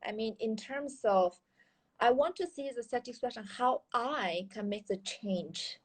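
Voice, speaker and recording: A woman's voice: on the high side, unhurried. A woman in her thirties from Kyrgyzstan, with a Kyrgyz accent, speaking English as a second language. She is a guest in a casual interview and talks earnestly, with many fillers and restarts. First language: Chinese. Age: 30s.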